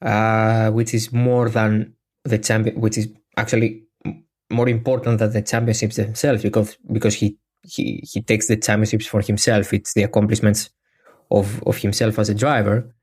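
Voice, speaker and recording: A man's voice: fairly low pitch, flat delivery, normal speed, a little mellow, husky. A man with a Greek accent, a native Greek speaker, hosting a podcast in a casual, joking, self-deprecating way. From Greece. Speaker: podcast host.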